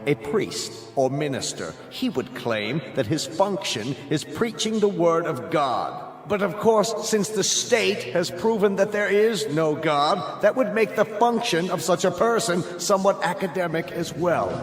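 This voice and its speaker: An imperious totalitarian judge in a radio drama, his voice ringing through a long hall reverb over music. He is fairly high-voiced, formal and pompous, full of cold contempt. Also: sing-song, unhurried, hardly any pauses. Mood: harsh, contemptuous. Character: stern, imperious official judge of a totalitarian state.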